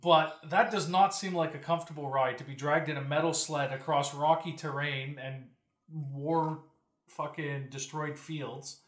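A man's voice: on the high side, fairly even intonation, unhurried, fairly bright tone. A Canadian man chatting casually on a podcast as he puts questions to others, informal and loose with his language. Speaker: podcast co-host asking questions. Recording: roomy echo.

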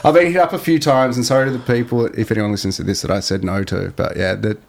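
A man chatting as a podcast guest, relaxed and reflective, with lots of fillers. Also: medium pitch, quick pace, gravelly. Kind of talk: casual podcast chat.

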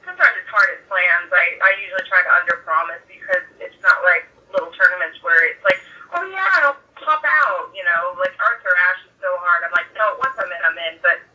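A woman chatting casually as a podcast guest, gushing and rambling excitably over a tinny remote call. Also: on the low side, a little fast, few pauses, distant mic. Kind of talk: casual chat.

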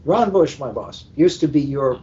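A male book editor telling anecdotes in a panel conversation, with an emphatic, deliberate manner. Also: expressive, dark tone. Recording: muffled internet call, clear background rumble.

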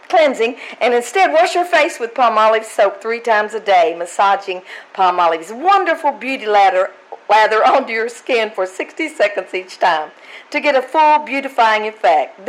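A gushing vintage radio saleswoman played by a woman, emphatic on every selling word. Her fairly high voice swoops with great animation. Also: lively intonation, unhurried, low-quality recording. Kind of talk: 1940s radio commercial read.

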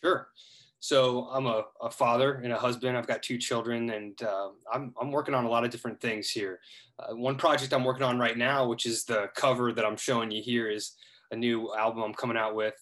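A man's voice: medium pitch, flat delivery, a little fast, fairly bright tone. A man in his thirties from Ohio, a guest in a casual interview, talking earnestly with lots of hesitations. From Ohio, United States. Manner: earnest, hesitant, many fillers and restarts.

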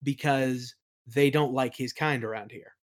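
A man's voice: medium pitch, steady tone, a little slow. A man answering as an interview guest in a casual conversational style, often hesitant as he thinks aloud. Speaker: interview guest, audio play creator.

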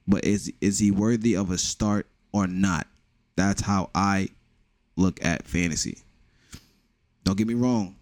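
A man's voice: lowish voice, a little mellow, no echo. A young man with a New York accent, hosting a casual solo podcast with blunt, profane joking. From the Bronx, New York. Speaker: podcast host.

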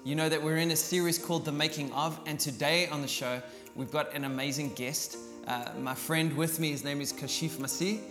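A man's voice: fairly high pitch, fairly even intonation, brisk, hardly any pauses. A man hosting a podcast, upbeat and friendly, with lots of ums. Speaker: podcast host. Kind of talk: casual interview hosting. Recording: echoey room with faint music.

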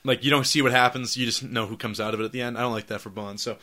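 Man, hoarse, rapid, bright edgy voice, rambling profane podcast chat. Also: medium pitch, fairly even intonation. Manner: loose, profane, rambling with many fillers. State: hoarse, recovering from laryngitis. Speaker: podcast host.

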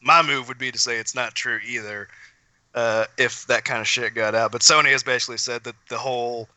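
Very bright, crisp-voiced man. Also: mid-range voice, steady tone, brisk, no echo. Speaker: podcast panelist. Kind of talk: casual pop-culture chat.

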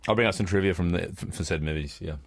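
A man co-hosting a podcast in casual, rambling chat, explaining things in a halting, long-winded, mock-learned way. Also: low-pitched, no room sound. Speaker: podcast co-host.

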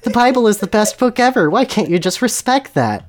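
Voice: medium pitch, lively intonation, brisk, voice pushed up, natural voice. A reader voicing upset, self-righteous schoolchildren, shrieking and overwrought, in a comedic fan fiction reading. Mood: tearful zeal.

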